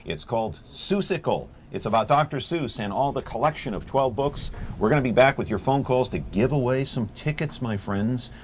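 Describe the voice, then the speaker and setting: A man's voice: mid-range voice, animated, moderate pace, hardly any pauses, slight background noise. A man hosting a local TV talk show, joking and teasing his guests in the studio. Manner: teasing, jokey. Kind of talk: casual studio chat.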